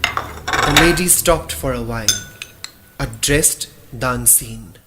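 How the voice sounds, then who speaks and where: A man playing a frightened young man who recounts his nightmare in a horror radio play, with dramatic, deliberate delivery. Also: mid-range voice, heavy background noise, lightly acted. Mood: uneasy. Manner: slow, dramatic, deliberate storytelling. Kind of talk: first-person horror radio drama narration. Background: dramatic music.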